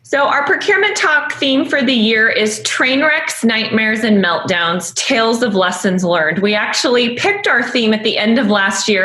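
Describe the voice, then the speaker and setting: A woman's voice: a little high, sharp tone. A woman hosting a webinar, reading an upbeat introduction from notes. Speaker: webinar host. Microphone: video call. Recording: echoey room.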